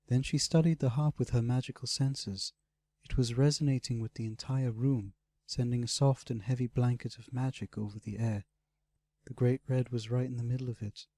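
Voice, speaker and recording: A man's voice: mid-range voice, moderate pace, mellow, dry recording. Man narrating fantasy, dry close sound. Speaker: audiobook narrator.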